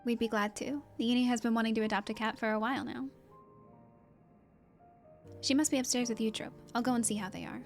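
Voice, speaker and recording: A woman voicing a courteous, steady ally in a video game, with composed and polite wording. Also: fairly high pitch, quick pace, pauses often, soft background music, natural voice. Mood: welcoming. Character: courteous, steady gym-owning ally of the hero.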